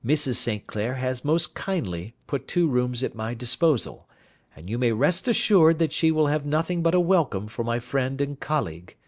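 A man's voice: medium pitch, animated, normal speed, pitched above the performer's own voice, close to an everyday voice. A man playing an assured, courteous detective in an audiobook, speaking with precision. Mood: brisk, earnest. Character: brisk, assured male detective. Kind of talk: audiobook character voice.

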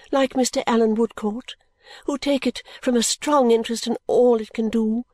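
Stately, opinionated matron in an audiobook, a touch raised and measured, read by a woman. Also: fairly high pitch, mellow, no echo, natural voice. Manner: stately and self-assured, lecturing. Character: middle-aged woman, proud, opinionated, thrice-married. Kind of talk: audiobook reading.

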